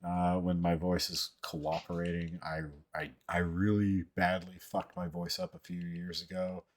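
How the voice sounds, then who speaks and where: A man with a low, deep voice and a somewhat monotone delivery hosts a casual livestream. He rambles and halts as he talks, swearing freely. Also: measured pace. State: voice somewhat out of sorts. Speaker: livestream host. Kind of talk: casual livestream chat, reading viewer comments.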